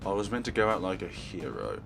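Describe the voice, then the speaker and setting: A cold, fatalistic boy killer read by a man speaks in a low, grave, deliberate voice. He is a grieving visual novel villain, with soft music behind. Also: very animated, creaky, heavily acted. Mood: grave, resigned.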